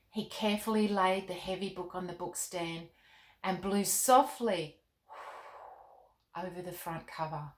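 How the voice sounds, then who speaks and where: Expressive woman storyteller in an echoey room. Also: lowish voice. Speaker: children's author and storytime host. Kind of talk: expressive storybook narration for children.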